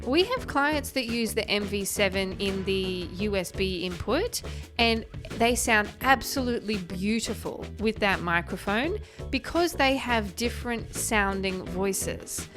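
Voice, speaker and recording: A woman with a light, higher voice, talking in a friendly, chatty and explanatory way on a close podcast microphone. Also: animated, unhurried, over music. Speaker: host reviewing microphones. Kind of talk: casual tech review talk to camera.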